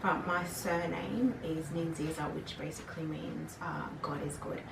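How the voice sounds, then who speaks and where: A woman's voice: deep voice, fairly even intonation, normal speed, gravelly. A young woman appearing as a guest, chatting casually and explaining things at length. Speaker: guest, older sister. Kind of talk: casual chat. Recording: roomy, distant mic, faint noise.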